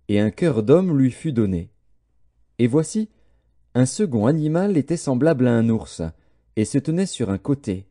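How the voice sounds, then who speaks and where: A man reads scripture as an audiobook narrator. His voice is deeply mellow and dark, at a medium pitch that moves expressively up and down. The delivery is formal, solemn and measured.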